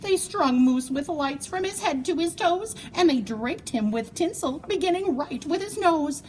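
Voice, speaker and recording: A woman reading a children's story aloud in an expressive, playful way, with dramatic emphasis and drawn-out words. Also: sits high, slight background noise. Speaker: storybook reader.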